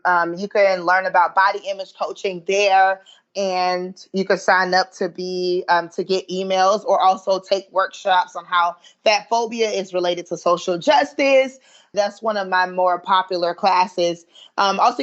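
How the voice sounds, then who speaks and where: A woman's voice: medium pitch, fairly bright tone. Southern woman with a muffled sound. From American South. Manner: chatty, many fillers, proud. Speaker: guest, body image coach. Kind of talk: remote podcast interview.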